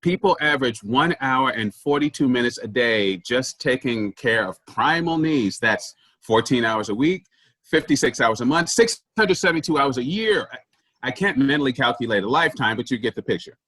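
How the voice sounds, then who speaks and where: A man's voice: medium pitch, expressive, moderate pace, sharp tone, no room sound. A man in a staged comedy reading playing a pushy, self-important office boss who preaches efficiency emphatically. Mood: conspiratorial, excited.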